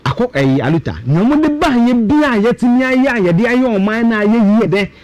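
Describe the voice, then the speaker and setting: A man's voice: extremely high pitch, very animated, fairly quick, darkish tone, no room sound. A Ghanaian man in his thirties giving emphatic, argumentative budget commentary on a talk show, with rhetorical questions and repetition. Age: 30s. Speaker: guest political commentator.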